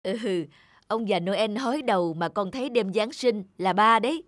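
An actor voicing a gentle, patient middle-aged father in an animated children's story, with a tender, measured, explanatory manner. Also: mid-range voice, no room sound, studio quality, close to an everyday voice. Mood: tender, reassuring.

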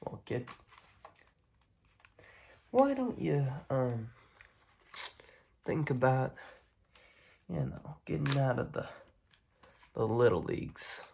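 A young man playing a smug, menacing mob boss in an ASMR roleplay, speaking in halting phrases with a breathy, raspy voice that often drops to a whisper. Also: medium pitch, very animated, a little hiss or hum, exaggerated voice. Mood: persuasive. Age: young adult. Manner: slow, halting, repeats words, quietly intimidating. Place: dim room at home, indoors.

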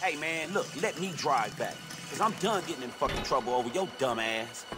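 A man's voice: medium pitch, expressive, brisk, close to an everyday voice. An actor with an American accent playing an exasperated, street-hardened man in a video game, blunt and profane in his slang. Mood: fed up. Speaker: game character. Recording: game soundtrack with loud music.

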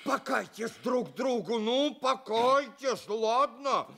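An actor playing a pious old villager in a radio play, lamenting and preaching reproachfully. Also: male voice, very high-pitched, takes it slowly, gravelly, sharp tone, heavily acted. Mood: anxious pleading. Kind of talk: Soviet radio play acting.

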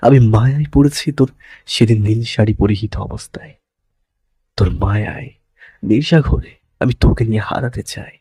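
A man's voice: medium pitch, dark tone, no room sound, natural voice. A man playing an intense, possessive young lover in a romantic audio story, pleading dramatically and mixing English words into his speech. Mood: tender, pleading.

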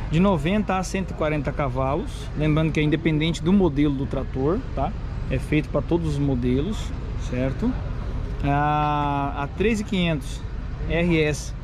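A man's voice: a little high, normal speed. A man, hosting a casual walkaround of farm machinery and talking in a chatty way, with frequent tag questions and restarts. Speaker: channel host reviewing farm machinery. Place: outdoors at a farm machinery fair. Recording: noisy outdoor fair.

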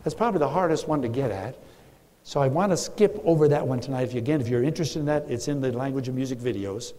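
A man's voice: mid-range voice, mellow. An older man teaching a congregation about church music from a lectern, earnest and emphatic with dry humor. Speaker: guest speaker on church music. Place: large church sanctuary. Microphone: lectern mic. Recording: long church hall reverb.

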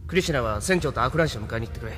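An actor playing a confident, breezy rebel pilot in an anime audio drama, giving orders in casual, commanding speech. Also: male voice, medium pitch, lively intonation, very fast, long reverb tail, over loud music, natural voice. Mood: brisk, commanding.

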